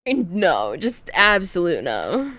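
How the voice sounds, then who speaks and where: A woman's voice: lowish voice, huge pitch swings, slow, sharp tone, no room sound. A woman streamer chatting casually on a livestream, thinking aloud about game builds and laughing often.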